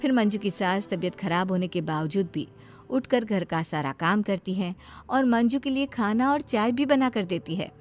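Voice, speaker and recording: A woman tells a scripted bedtime story for a cartoon. Her voice is of average pitch for a woman, and faint music plays behind her narration. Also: animated, normal speed.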